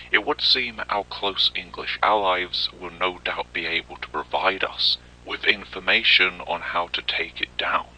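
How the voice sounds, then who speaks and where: Man acting a very deep, forceful government briefing officer. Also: full character voice, poor audio. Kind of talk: scripted military briefing narration, analog horror.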